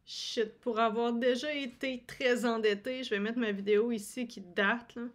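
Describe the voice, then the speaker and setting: A woman's voice: a little high, steady tone, moderate pace. A woman from Quebec with a Québécois accent, hosting a casual explanatory commentary, talking straight to viewers and correcting herself as she goes. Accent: Québécois French. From Quebec, Canada. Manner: conversational, self-correcting, addresses viewers directly. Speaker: YouTube host and marketing commentator.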